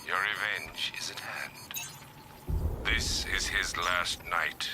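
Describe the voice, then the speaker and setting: An actor playing a sinister, calculating criminal mastermind in a video game, ominous and deliberate, heard over radio. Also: male voice, low voice, fairly even intonation, background noise. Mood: cold, ominous. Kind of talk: video game cutscene acting.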